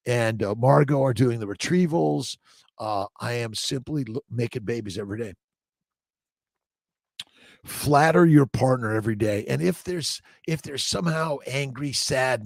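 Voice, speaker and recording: A man's voice: medium pitch, gravelly, a little mellow, no echo. An American man hosting an informal motivational livestream, exhorting listeners in short repeated phrases and loose asides. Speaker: host, fertility doctor. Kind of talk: informal morning livestream, motivational chat with read-aloud passages.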